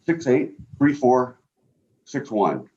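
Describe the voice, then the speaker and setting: A man with a booming voice arguing an appeal as an attorney over a video call from home, halting and full of fillers. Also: very animated, a little mellow, lo-fi sound. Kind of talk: appellate oral argument. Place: home office.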